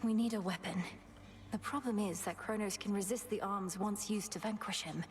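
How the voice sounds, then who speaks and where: A woman playing an earnest, resolute young witch princess in a video game, speaking in a formal, measured manner. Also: medium pitch, fairly even intonation, faint music behind, natural voice. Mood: earnest, urgent. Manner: formal, measured, archaic phrasing. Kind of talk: video game drama acting.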